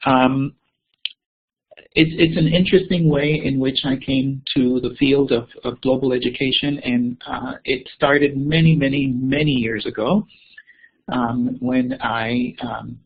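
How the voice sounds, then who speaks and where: A man's voice: mid-range voice, steady tone, measured pace, breathy edge. A man from Costa Rica giving an online keynote, hesitating with many ums and repeated words. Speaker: keynote speaker. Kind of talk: webinar presentation. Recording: tinny phone-like audio.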